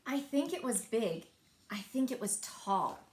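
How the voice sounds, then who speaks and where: A woman's voice: on the high side, sing-song, measured pace, roomy sound. A woman voicing an eager, wistful young twig searching for family in a children's storybook, wondering and childlike. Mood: hopeful.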